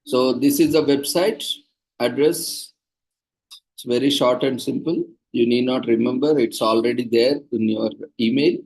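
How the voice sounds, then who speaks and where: A man's voice: mid-range voice, measured pace, a little mellow, breathy edge, low-quality recording. A man organizing a seminar, patiently explaining procedures to participants over a video call. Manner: patient, instructive, plain and practical.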